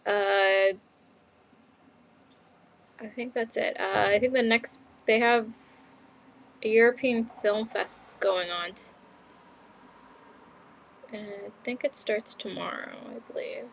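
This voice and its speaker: A woman's voice: mid-range voice, steady tone, takes it slowly, creaky, pauses often, slight background noise, low-quality recording. A young woman from Canada chatting casually in a video diary, rambling with lots of ums and little laughs. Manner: rambling, many fillers and laughs. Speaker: vlogger.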